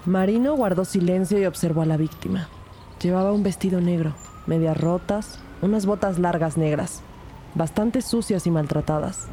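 Actress as a low-voiced female police investigator narrating. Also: warm tone, a little hiss or hum, lightly acted. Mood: grave, narrating. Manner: measured, serious. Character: female police investigator narrating her case, serious, observant. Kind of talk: scripted first-person crime narration.

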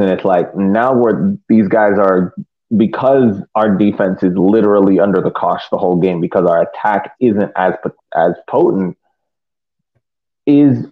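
Male podcast co-host, lower-pitched, phone-quality audio, casual soccer analysis with halting phrasing. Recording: narrow phone-like sound.

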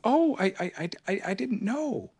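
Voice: mid-range voice, lively intonation, moderate pace, a little mellow, slightly rough, voice pushed up. A parent reading a bedtime story aloud, voicing a timid, stammering little piglet in a raised voice. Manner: stutters, nervous hesitations.

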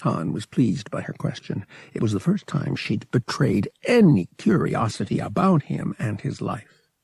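A man's voice: mid-range voice, sing-song, measured pace, vocal fry, a little mellow, husky, no room sound, studio quality. A man narrating fiction as a scripted, dramatic audiobook reading.

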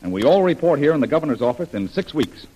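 A husky, commanding mission leader in a vintage radio adventure. He gives instructions briskly, with a pitch that moves around a lot. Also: sing-song, warm tone, poor audio. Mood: brisk, instructing. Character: brisk, commanding leader briefing an undercover team. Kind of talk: old-time radio adventure acting.

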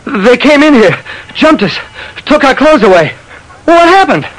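An indignant, excitable man in a classic radio drama. The voice is very high and forceful, with emphatic, agitated delivery. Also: unhurried, heavily acted, rough recording. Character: agitated, indignant men caught up in a robbery.

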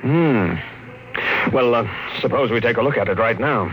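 An American actor playing a blunt, world-weary middle-aged psychologist in a classic radio drama, authoritative and wry. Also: male voice, mid-range voice, moderate pace, lightly acted. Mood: breezy, reassuring. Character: blunt, world-weary male child psychologist and family friend. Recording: old radio broadcast, echoey hall, music behind.

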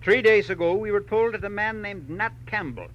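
An actor playing an exacting middle-aged millionaire mine owner in a 1940s radio drama, emphatic and deliberate in his phrasing. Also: sits high, expressive, a bit bright. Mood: businesslike. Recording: dull, hissy old radio recording.

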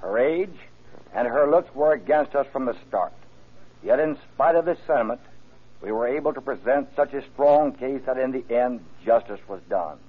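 A man's voice: medium pitch, animated, normal speed, breathy edge, narrow, tinny audio. A man, a district attorney, giving a stiff, formal prepared statement on old-time radio. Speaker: district attorney, guest speaker. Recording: band-limited old radio broadcast.

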